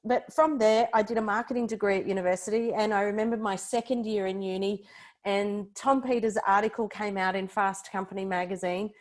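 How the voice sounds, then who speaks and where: Australian female, 40s, conversational podcast guest, chatty and informal, mid-range pitch. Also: fairly even intonation, a little fast. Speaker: podcast guest, personal branding expert. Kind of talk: conversational interview, recounting own career.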